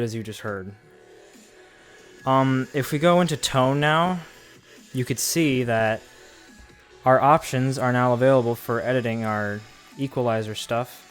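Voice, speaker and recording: A man giving a casual, rambling hands-on product demo, talking informally to his viewers as the host. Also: medium pitch. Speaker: host of a gadget review series.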